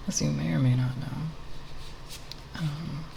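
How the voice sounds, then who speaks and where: An ASMR creator speaking softly and breathily, half whispering in a halting, unscripted ramble with long pauses, close to the microphone. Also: mid-range voice, fairly even intonation, background noise. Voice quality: soft, breathy, partly whispered.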